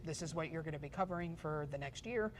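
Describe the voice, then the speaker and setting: Woman, 40s, from Pittsburgh, lowish voice, rambling and animated guest. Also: quick pace, slight background noise. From Pittsburgh, USA. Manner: chatty, many fillers and restarts, animated retelling. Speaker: guest, esports photographer and journalist. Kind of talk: casual interview chat.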